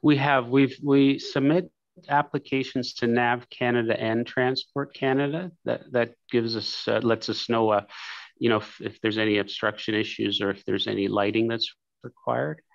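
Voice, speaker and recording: A man's voice: medium pitch, steady tone, normal speed, gravelly, no room sound. A man answering council questions over a video call in a hesitant, polite way, using many fillers. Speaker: applicant's representative answering council. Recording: dry muffled video call audio.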